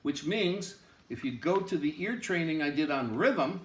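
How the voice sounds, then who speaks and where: A man with a fairly high voice teaches in an informal lecture style. He explains things emphatically, often repeating phrases to drive them home. The recording is noticeably roomy, with clear echo around his voice. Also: animated, unhurried. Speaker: music teacher. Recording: roomy, echoing room sound.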